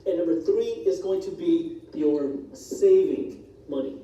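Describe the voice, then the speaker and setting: A man whose first language is Punjabi, briskly walking viewers through budget numbers in a scripted explainer video. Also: on the high side, expressive, mellow, distant mic. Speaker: personal finance educator. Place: played on speakers in a church hall. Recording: video replayed in an echoey hall, heard from afar.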